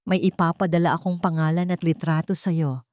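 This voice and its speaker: A woman's voice: fairly low pitch, steady tone, a little fast, a little mellow, no echo, studio quality, close to an everyday voice. A woman playing a commanding, suspicious male billionaire boss in an audio romance, curt and insistent.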